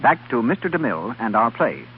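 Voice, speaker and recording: A man's voice: mid-range voice, sing-song, fairly quick. A man announcing in 1930s radio style, as a scripted presenter of a drama broadcast. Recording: muffled 1930s radio, faint hiss.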